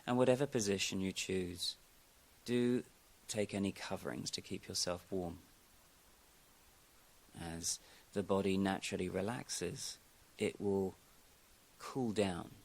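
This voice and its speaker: A man with a deep voice and a marked creak leads a guided meditation. He gives gentle, calm instructions in the manner of a yoga teacher. His phrases are unhurried, with long pauses left between them.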